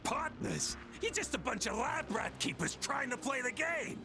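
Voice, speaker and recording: A woman's voice: high voice, lively intonation, normal speed. A woman voicing a hot-headed, sneering gang villain in a video game, aggressive and scornful. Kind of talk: scripted video game voice acting. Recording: music under the voice.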